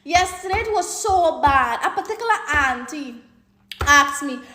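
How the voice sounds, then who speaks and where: Guyanese woman, super high voice, exclaiming, kinda echoey room. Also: unhurried. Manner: exclaiming, lively and exasperated. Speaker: health care worker. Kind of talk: animated storytelling vlog.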